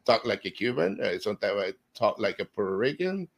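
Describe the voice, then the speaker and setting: A middle-aged man hosting a casual podcast interview, easygoing, with halting, filler-laden questions. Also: fairly low pitch, sing-song, creaky. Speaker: podcast host.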